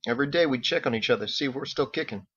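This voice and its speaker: A man's voice: medium pitch, rapid-fire, fairly bright tone, breathy edge, lo-fi sound. A man voicing a brash, touchy, boastful male cage fighter in an audiobook, talking in emphatic slang. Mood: earnest. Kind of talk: character voice in audiobook narration.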